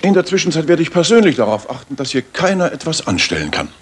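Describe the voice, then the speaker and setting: An actor playing a keen, precise, authoritative gentleman detective in a German radio drama from the 1980s. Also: on the high side, very animated, fast talker, breathy edge. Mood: commanding. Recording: slightly noisy retro radio recording.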